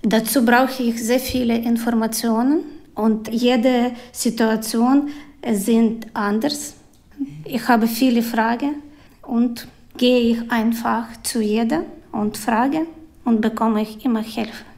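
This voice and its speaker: A woman in her thirties with a Ukrainian accent speaks careful learner's German. Her voice is high with a gravelly edge. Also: steady tone, slow pace, darkish tone. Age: 30s. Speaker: trainee office clerk.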